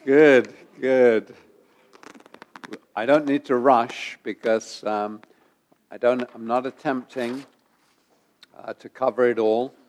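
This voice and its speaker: Slow, halting preacher man with a head cold. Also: medium pitch, steady tone, a little mellow. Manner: halting, many ums and uhs; repeats phrases for emphasis. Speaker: pastor. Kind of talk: sermon.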